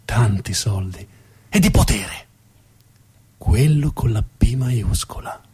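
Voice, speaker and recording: A man performing dramatic scripted narration as a theatre storyteller, with a deliberate, emphatic delivery and dramatic pauses. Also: mid-range voice, animated, a little mellow, slightly rough.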